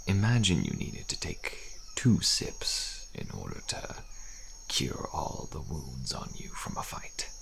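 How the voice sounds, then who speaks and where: A very deep, gravelly fantasy deserter. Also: male voice, huge pitch swings, fairly bright tone, in a whisper, heavily acted. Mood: patient, instructive. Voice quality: very deep, gravelly, breathy at times. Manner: slow, archaic, deliberate, often dropping to a murmur. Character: wary frail old deserter, helpful dark-fantasy wanderer. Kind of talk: ASMR audio roleplay.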